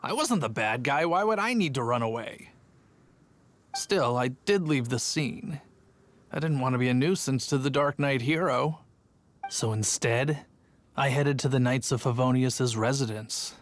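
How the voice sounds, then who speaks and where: A boastful town guard from a fantasy video game played by a man, with a mid-pitched voice. He spins his story theatrically and self-importantly, though he is plainly no hero. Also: unhurried, a little hiss or hum, close to an everyday voice. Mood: dramatic storytelling. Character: boastful, timid town guard telling tall tales. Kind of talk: video game cutscene acting.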